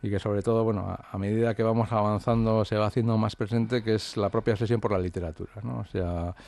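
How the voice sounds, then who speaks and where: A man's voice: fairly low pitch, steady tone, fast talker, gravelly, a little mellow. A man, an author from the Basque Country, talking through his ideas in an interview, hesitant and reflective with many fillers and restarts. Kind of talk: interview conversation.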